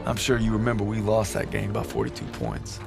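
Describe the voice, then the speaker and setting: A wry young soldier. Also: male voice, on the low side, very animated, fairly quick, vocal fry, full character voice. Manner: dry, wry inner narration. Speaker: narrator and soldier. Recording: with loud music underneath.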